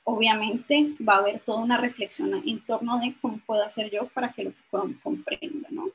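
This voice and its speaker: A woman's voice: on the high side, steady tone, brisk, lo-fi sound, slight background noise. A young adult woman presenting an explanatory academic lecture over a video call, often adding short checking tag questions. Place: indoor room on a video call. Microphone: video call microphone.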